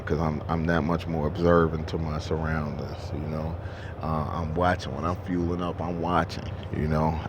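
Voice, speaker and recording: Deep gravelly man, 30s, trucker, profane rambling vlog talk, noisy truck stop behind. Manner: rambling and profane, with many restarts and fillers. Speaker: truck driver. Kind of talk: casual monologue to camera.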